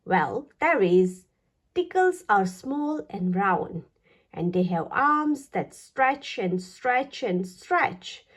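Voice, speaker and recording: A woman reads a children's story aloud with a lightly husky voice. Her pitch moves up and down in a very animated way, and she takes her time over each phrase in an expressive, deliberate style for young listeners.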